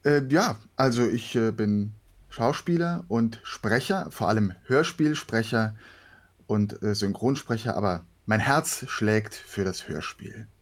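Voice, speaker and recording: A man's voice: on the low side, expressive, a little slow. A man who works as an actor and voice actor, answering interview questions casually. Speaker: guest, actor and voice actor.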